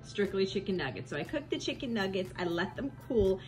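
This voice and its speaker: A woman, chatting brightly to camera as a family vlogger, filmed on a handheld phone in her kitchen and car. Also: medium pitch, very animated, fairly quick. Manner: bubbly, chatty, addresses viewers directly. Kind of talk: casual vlog chat to camera.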